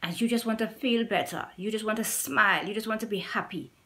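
A woman's voice: medium pitch, fairly quick, pushed and bright. A middle-aged woman with a Trinidadian accent talks straight into a nearby camera in a small room at home. She speaks in a mid-range voice, earnest and pleading, and keeps circling back to repeat her points. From Trinidad and Tobago. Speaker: YouTuber. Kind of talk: personal testimony to camera.

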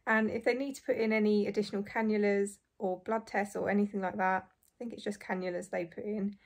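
A young woman in her twenties, hoarse and croaky after surgery, chatting casually to camera from a small bedroom. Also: medium pitch. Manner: chatty, relieved, frequent light laughs. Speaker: vlogger. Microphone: phone or camera close in front.

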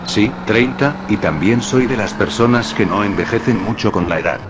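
A man in his thirties, a gaming YouTuber, calmly explaining things in voice-over narration. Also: on the low side, moderate pace, noisy background. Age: 30s. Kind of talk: voice-over narration over gameplay footage. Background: background music.

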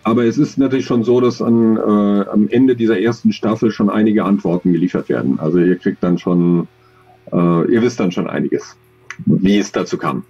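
Man, 40s, interview guest, husky, mellow, thoughtful, hesitant, dull video call sound. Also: on the low side, moderate pace, soft background music. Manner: thoughtful, many hesitations and fillers. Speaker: audio drama author, interview guest. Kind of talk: relaxed conversational interview.

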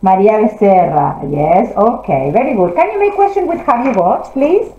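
A woman teaching English to children, encouraging them and gently correcting their answers in an interactive classroom lesson. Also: medium pitch, lively intonation, moderate pace. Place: classroom, indoors. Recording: roomy, slightly dull classroom audio.

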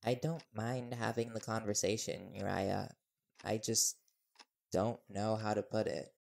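A man's voice: on the low side, little pitch movement, measured pace, gravelly, darkish tone. A man playing an earnest, nervous young reporter boyfriend in an intimate audio roleplay, hesitant and deferential.

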